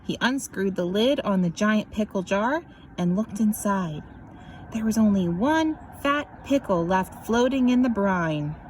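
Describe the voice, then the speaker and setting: A woman reads a picture book aloud as scripted narration, animated and drawing out words for emphasis. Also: mid-range voice, a little slow, a little hiss or hum, poor audio. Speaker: children's story reader.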